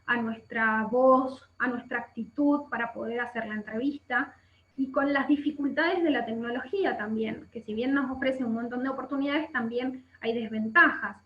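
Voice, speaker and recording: A woman's voice: a little high, moderate pace. A woman with an Argentine accent giving an explanatory online workshop, often checking in with short questions, over a video call. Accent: Argentine Spanish. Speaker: workshop instructor. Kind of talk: instructional webinar talk. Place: indoor room by a window. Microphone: webcam video call. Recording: muffled, echoey video-call audio.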